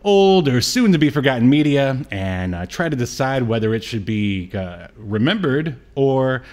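A man's voice: medium pitch, big pitch swings, normal speed, a little mellow. A middle-aged American man chatting casually as a pop-culture podcast host, rambling with wry asides. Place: small room at home, on a video call.

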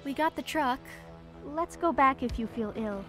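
Woman playing an anxious, high-voiced young survivor. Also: moderate pace, soft background music, lightly acted. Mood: worried. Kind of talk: animated webcomic fan dub.

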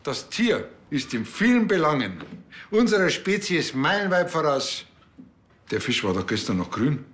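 An actor playing an earnest, bumbling Bavarian policeman in a comedy series, speaking haltingly with a Bavarian accent. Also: a little high, big pitch swings, a bit bright. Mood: earnest, rambling. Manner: halting, hesitant, with many ers. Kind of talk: naturalistic comedic screen acting.

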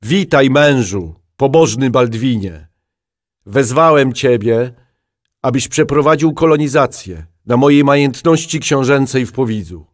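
A man's voice: medium pitch, animated, a little slow, natural voice. A man playing a medieval duke in an audio drama, proclaiming formally in a solemn, stately manner.

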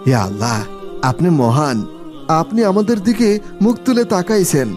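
A man's voice: high-pitched, big pitch swings, moderate pace, darkish tone, soft background music. A man voicing a humble, devout poor village labourer in a cartoon, deferential and imploring. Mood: grateful. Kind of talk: cartoon voice acting.

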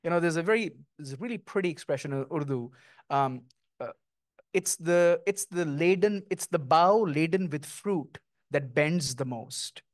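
Middle-aged male author, reflective and poetic, slightly high voice, handheld mic, dry. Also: normal speed, no echo. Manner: thoughtful, lyrical, with frequent ums. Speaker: guest author. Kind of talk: onstage author conversation. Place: small stage before an audience, indoors.

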